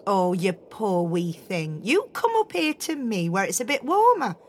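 A woman voicing a kind, motherly spruce tree who speaks in a gentle, coaxing way. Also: medium pitch, sing-song, unhurried, a little hiss or hum. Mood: caring. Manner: warm coaxing.

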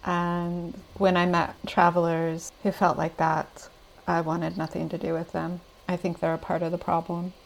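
A woman's voice: on the low side, completely flat delivery, moderate pace, gravelly, rough recording. An American woman answering interview questions about travel in an earnest, reflective way. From United States. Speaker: podcast guest.

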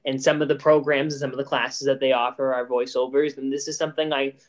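Earnest teenage guy, mid-pitched voice. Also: level tone, fast. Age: teens. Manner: earnest, eager. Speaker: high school drama club member. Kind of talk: casual interview chat.

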